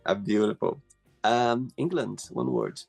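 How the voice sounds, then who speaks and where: Gravelly Brazilian-accented male host. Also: fairly low pitch, big pitch swings, measured pace, darkish tone, faint music behind. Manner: effusive, many "you know" fillers. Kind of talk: casual interview.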